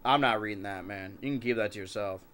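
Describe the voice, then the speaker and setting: A man's voice: lowish voice, quick pace, a bit bright. A man live-streaming from home with a stuffed-up nose, chatting and joking with his viewers and his cats. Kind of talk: casual live-stream chat.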